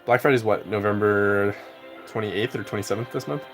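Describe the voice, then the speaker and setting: A man's voice: fairly low pitch, fairly quick, faint music behind. Laid-back young man chatting on stream. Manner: rambling, relaxed, heavy on "like". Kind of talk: casual live-stream chat while gaming.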